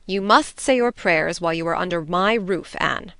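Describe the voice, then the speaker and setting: A woman's voice: fairly low pitch, moderate pace, sharp tone, clear, resonant tone, no room sound. A woman reading an audiobook, voicing a strict, prim older woman who scolds stiffly. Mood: stern.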